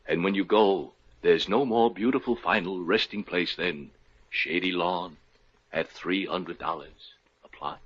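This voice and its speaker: An actor playing a solemn older undertaker who sells burial plots, in a 1950s radio comedy. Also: male voice, low voice, a little slow, fairly bright tone, a little hiss or hum. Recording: dull lo-fi old radio broadcast.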